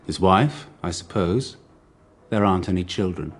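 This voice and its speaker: A man's voice: low voice, normal speed, husky. An actor playing a guarded, prickly businessman under questioning in a radio drama, curt and defensive. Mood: evasive.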